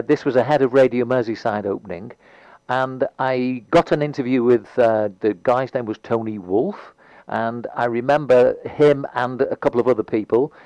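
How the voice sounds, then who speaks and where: A man's voice: medium pitch, a little mellow, dry recording, low-quality recording. A man with a Liverpool accent interviewing a guest, hesitant and full of restarts. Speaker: radio interviewer. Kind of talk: casual interview chat.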